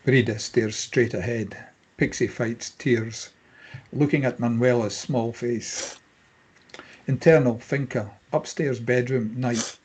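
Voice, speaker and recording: Husky male script narrator. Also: mid-range voice, fairly even intonation, takes it slowly, darkish tone. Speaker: narrator reading stage directions. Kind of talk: scripted narration at a table read.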